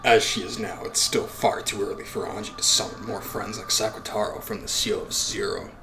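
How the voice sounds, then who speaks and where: A man voicing a young girl witch who speaks in a soft, coaxing way. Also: lowish voice, steady tone, a little fast, creaky, background noise, pitched below the performer's own voice.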